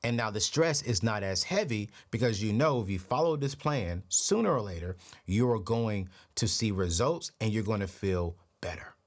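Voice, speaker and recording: A man in his late thirties hosting a personal finance show, giving a motivational talk with a preachy, energetic delivery. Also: lowish voice, normal speed. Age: 30s. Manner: preachy, energetic, repeats phrases. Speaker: podcast host.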